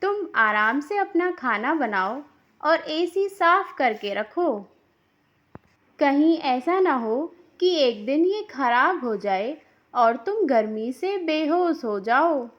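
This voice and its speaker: A woman voicing a reproachful, sharp-tongued older mother-in-law who scolds and taunts in a cartoon moral story. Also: high-pitched, lively intonation, slow, highs cut off. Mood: taunting.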